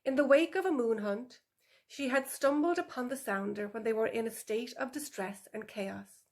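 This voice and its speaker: A woman's voice: on the high side, normal speed, a little mellow. A woman author reading her animal story for older children as scripted narration.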